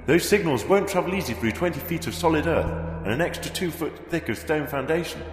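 A man's voice: fairly high pitch, expressive, brisk, echoey room, long reverb tail, slight background noise, lightly acted. A man playing a brusque, commanding resistance fighter in an audio drama. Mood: tense. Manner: terse, commanding. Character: brusque male resistance fighter planting a bomb.

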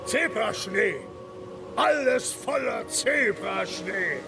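An actor playing a pompous, excitable and pious emperor with boyish streaks, declaiming with drawn-out words in a radio drama. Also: male voice, sits high, gravelly, over music, exaggerated voice. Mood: delighted.

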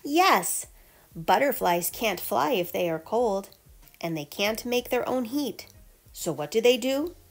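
A woman reading a children's picture book aloud like a teacher, with emphatic storytelling delivery. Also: mid-range voice. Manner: clear storytelling delivery with emphatic questions and answers.

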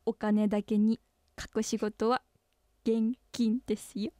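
An actress playing a blunt, teasing young company employee in a radio drama, with a deadpan, brisk delivery. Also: female voice, a little high, dry recording, clean studio sound. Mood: sly.

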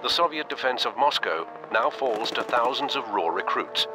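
A man reading scripted documentary-style war narration for a game cutscene in a grave, measured manner. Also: medium pitch, expressive, over music.

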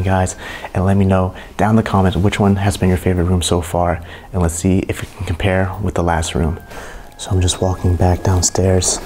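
A young man with a low, even voice talks straight to his handheld camera as he walks through a big abandoned house. He sounds hushed and amazed, reacting to each room. A faint hiss sits under the recording. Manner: hushed and awed, reacting to what he finds. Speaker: urban explorer vlogger. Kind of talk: casual walkthrough narration to camera. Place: inside a large abandoned mansion.

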